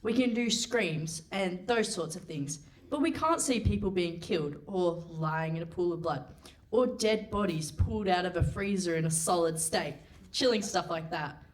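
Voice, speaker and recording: A woman's voice: on the low side, moderate pace, close to an everyday voice, low-quality recording. A woman playing a naive, literal-minded co-presenter in a live radio play. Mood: puzzled.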